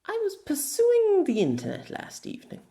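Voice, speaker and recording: A playful young woman hero from a fantasy world, acted out by a man in an amateur audiobook. The pitch is lifted and swoops freely, with grand, archaic wording and a curious, teasing temper. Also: wildly swooping pitch, normal speed, gravelly, dark tone, full character voice. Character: curious, teasing young heroine from another world, haughty and old-fashioned.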